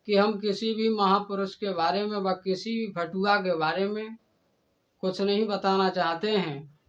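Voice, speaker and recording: Guy with a pretty high voice lecturing and scolding someone like hes giving a speech, muffled lofi sound. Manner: scolding, indignant, oratorical with repeated direct address. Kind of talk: admonishing monologue addressed to a rival and the community.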